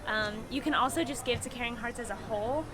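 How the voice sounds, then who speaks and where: A woman's voice: a little high, fast talker, low-quality recording. A woman explaining the work of a charity ministry in an upbeat manner, with frequent "um" fillers. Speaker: ministry representative. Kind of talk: informational pitch.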